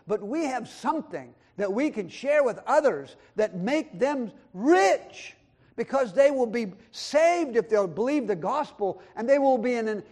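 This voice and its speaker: A man preaching a sermon, quoting scripture and swinging between loud emphatic lines and quiet low asides. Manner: quotes scripture and acts out voices of others in the talk. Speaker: pastor.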